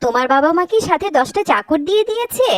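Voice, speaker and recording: Woman voicing a haughty, shrill, high-pitched cartoon mother-in-law. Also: sing-song, fast, no room sound, studio quality. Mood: scolding, outraged. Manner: sharp, scolding, indignant. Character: haughty, cruel older mother-in-law scolding her daughter-in-law. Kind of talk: Bengali cartoon voice acting.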